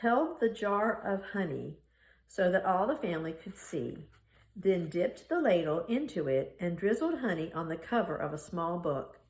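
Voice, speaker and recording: A woman reading a children's picture book aloud in an expressive storytelling style. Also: fairly low pitch, normal speed. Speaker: storybook reader. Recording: roomy, echoing room sound.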